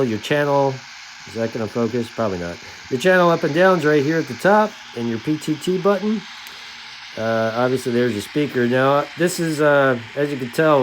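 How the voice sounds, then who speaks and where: A middle-aged man talks like a hobbyist showing off his gear to fellow enthusiasts. His voice sits at an average pitch, and his delivery is informal and halting, in a conversational way. Clear background noise runs behind the voice. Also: rough recording. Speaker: radio hobbyist presenter. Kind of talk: casual hands-on gear demonstration.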